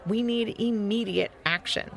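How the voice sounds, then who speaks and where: A woman's voice: mid-range voice, measured pace, vocal fry, pitched above the performer's own voice. A woman voicing a hot-tempered, impatient young fennec fox in a children's story, urgent and indignant. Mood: annoyed, urgent.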